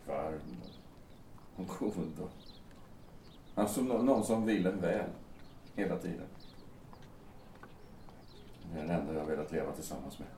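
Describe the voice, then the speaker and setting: A man's voice: low-pitched, long pauses, mellow. A man acting in an audio drama as a despairing, guilt-ridden man, agitated and bitter. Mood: grieving. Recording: roomy, distant, noisy amateur-sounding recording.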